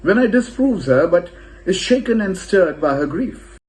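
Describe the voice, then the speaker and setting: A man reading a scripted film review as narrator, with expressive, shifting emphasis. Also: high-pitched, measured pace, rough recording.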